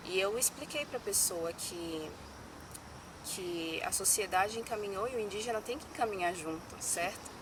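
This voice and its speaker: Young woman from brazil, earnest, talks in short bits, mic far off outside, loud street noise. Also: mid-range voice, moderate pace. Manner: earnest, speaks in short phrases with many "né". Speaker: interviewee. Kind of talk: testimony in an interview. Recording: outdoors from a distance, loud traffic noise.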